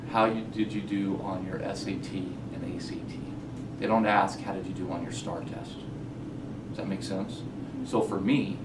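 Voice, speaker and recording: Low voiced guy answering at a public forum, earnest, repeats himself, mic kinda far off. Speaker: school board trustee. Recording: roomy far-off mic, clear background noise.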